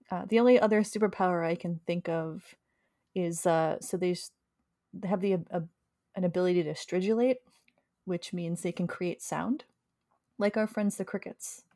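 Woman co-host from New York, slightly gravelly tired voice, chatty, dry close mic. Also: on the low side, fairly even intonation, normal speed, dark tone, dry recording. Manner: chatty, enthusiastic about bug facts. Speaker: podcast co-host. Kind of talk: casual chat.

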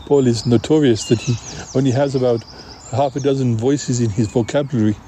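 A man's voice: mid-range voice, fairly even intonation, fairly quick, mellow, a little hiss or hum. A man improvising comic audio-drama dialogue in a hesitant, dithering way, often repeating words.

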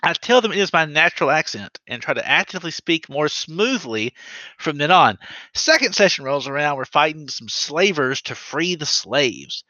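A man's voice: a little high, normal speed, no room sound, lo-fi sound. A man co-hosting a podcast, reading out listener stories in casual chat with sarcastic asides and frequent laughs. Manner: animated, sarcastic, laughs often.

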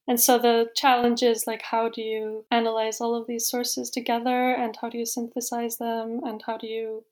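A woman's voice: a little high, monotone, moderate pace, darkish tone. A woman explaining her field as an interview guest, in an informal, conversational way with hedging words. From Iceland. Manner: informal, hedging with "like" and "you know". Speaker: interview guest, Viking scholar and author.

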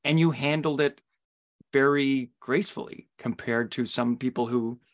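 A man's voice: medium pitch, fairly even intonation, normal speed. A man hosting an interview in a conversational, discursive way. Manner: discursive, builds questions through anecdotes. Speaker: podcast host, interviewer.

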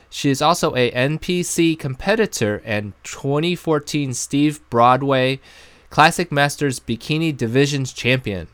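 A man hosting a podcast, reading upbeat promos and then asking relaxed interview questions. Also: mid-range voice, clean tone, dry recording. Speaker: podcast host. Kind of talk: podcast intro and casual interview.

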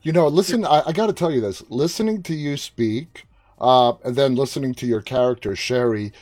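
A friendly man from Queens with a New York accent and a mid-range voice. He asks his questions eagerly and warmly. The sound is close and dry, with no room echo. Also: medium pitch, a little fast. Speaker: interviewer. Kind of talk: interview chat.

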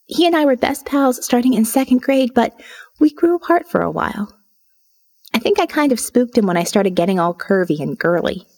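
A woman performs a restless, sardonic young woman confiding in her diary for an audio novel. Her voice sits a little high and has a husky, breathy edge. She is wry and defiant, and she uses dramatic pauses as she confesses. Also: expressive, darkish tone. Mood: wry, confessional. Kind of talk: dramatic first-person audio fiction narration.

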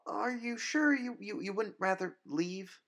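Man acting a young woman, raised pitch, nervous, sweet, hesitant stammer, polite, brave, audio story. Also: unhurried, fairly bright tone, full character voice. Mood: hopeful. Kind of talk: audio story character dialogue.

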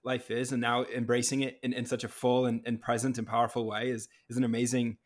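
Flat-toned man host, halting speech. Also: medium pitch. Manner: soft-spoken, halting with repeated words. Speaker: podcast host. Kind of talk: conversational interview.

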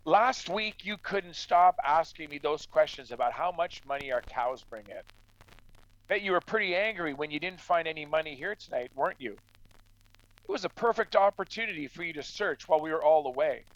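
An actor playing a stern, practical farmer in a radio drama, blunt and admonishing but fair-minded. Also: male voice, a little high, lively intonation, a little fast, fairly bright tone, dry recording, lo-fi sound. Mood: suspicious, accusing. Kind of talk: true crime radio drama acting.

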